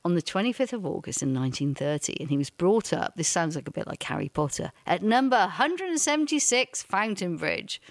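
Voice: mid-range voice, sing-song, dry recording. A co-host with a mid-range voice, chatting on a radio show and sharing trivia eagerly. The sound is dry and close. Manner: chatty, quick to laugh and agree, shares trivia. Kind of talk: casual radio chat.

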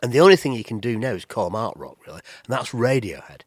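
A man's voice: medium pitch, big pitch swings, quick pace, breathy edge, no echo, clean studio sound. A man with a cold and a nasal voice, chatting casually about music as a podcast co-host, rambling with restarts. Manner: rambling, with many restarts and fillers.

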